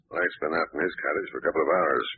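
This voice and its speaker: A gruff, blunt police detective with a very deep, gravelly, husky voice. The sound is thin and phone-like, like an old radio broadcast. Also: male voice, racing, heavily acted. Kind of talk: 1940s radio acting.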